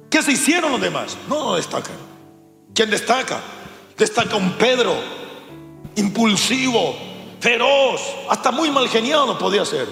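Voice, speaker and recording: High-voiced Latin American preacher in reverberant hall. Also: male voice, vocal fry, sharp tone. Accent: Latin American Spanish. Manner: emphatic, direct address to congregation, repeats for emphasis. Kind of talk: sermon, teaching with rhetorical questions. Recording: echoey hall, long reverb, music behind.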